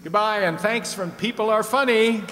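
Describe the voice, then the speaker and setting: An actor playing a chatty, teasing 1950s radio game show host. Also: male voice, high voice, normal speed, close to an everyday voice. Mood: upbeat sign-off. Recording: echoey hall with long reverb, faint noise.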